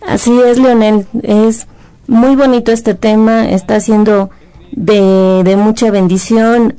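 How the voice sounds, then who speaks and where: Smooth, unhurried woman radio host. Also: mid-range voice, mellow. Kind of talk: radio interview.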